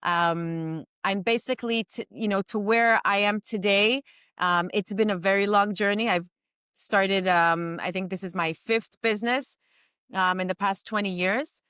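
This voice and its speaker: A woman in her forties from New York speaks as an interview guest over a video call. Her voice is smooth and resonant, set in a dry, close sound, and she talks reflectively, pausing on ums and restarting her sentences. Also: medium pitch, bright, forceful tone, no room sound. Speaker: guest entrepreneur and mentor. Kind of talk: remote interview conversation. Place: home in Israel during a storm.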